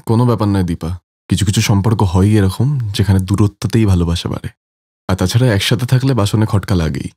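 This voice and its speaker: A man's voice: fairly low pitch, a little fast, warm tone, breathy edge, dry recording, clean studio sound. A man playing an earnest, protective married family man in a cartoon story, with a conversational manner. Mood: reassuring.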